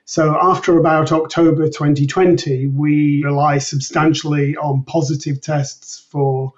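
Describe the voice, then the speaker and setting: A man giving measured, precise, methodical explanations as a researcher being interviewed. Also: a little high, flat delivery, distant mic, dull audio.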